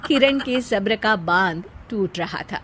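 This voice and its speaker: A woman's voice: medium pitch, lively intonation, measured pace, very noisy. A woman reading scripted narration as the storyteller of a cartoon tale.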